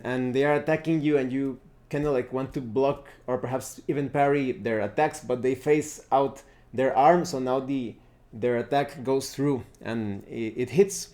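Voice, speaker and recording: A man presenting an unscripted game review, explaining things deliberately and often pausing mid-sentence. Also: medium pitch, darkish tone. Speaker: tabletop RPG reviewer, presenter.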